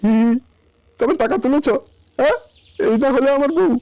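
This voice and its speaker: Man voicing a high-pitched wheedling cartoon husband. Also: lively intonation, a little mellow, no echo. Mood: eager, sly. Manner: coaxing, repeats words in hurried bursts. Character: wheedling scheming village husband who flatters his wife. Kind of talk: comic Bengali cartoon dialogue.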